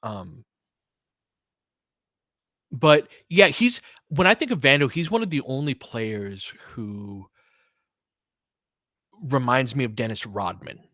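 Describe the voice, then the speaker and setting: A man's voice: medium pitch, animated, takes it slowly, long pauses, a bit bright, dry recording. Casual male sports host, close dry sound. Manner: conversational, thinks aloud, qualifies his opinions. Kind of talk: casual sports chat, answering listener comments.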